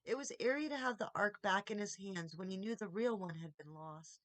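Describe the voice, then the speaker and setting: A woman reading a children's story aloud as expressive audiobook narration. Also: mid-range voice, fairly quick, no room sound. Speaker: audiobook reader.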